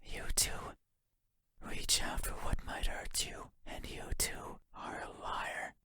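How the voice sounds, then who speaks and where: A man voicing an eerie, obsessive night creature in a horror game, speaking in a breathy whisper with deliberate, halting, intense phrasing. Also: lightly acted. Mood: accusing, bitter.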